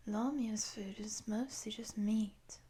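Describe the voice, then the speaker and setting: A woman's voice: medium pitch, fairly even intonation. Woman as a whispering, teasing lamia woman. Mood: curious, friendly. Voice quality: soft, breathy whisper. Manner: teasing, affectionate, unhurried. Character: friendly, curious lamia woman, teasing and gentle. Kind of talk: whispered roleplay audio addressed to the listener.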